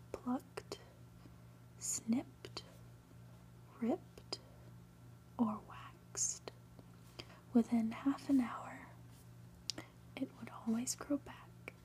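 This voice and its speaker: A woman reading a story aloud as ASMR in a soft, breathy whisper, with a hushed, gentle, deliberate delivery. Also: fairly high pitch, low-quality recording. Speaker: host reading a graphic novel aloud.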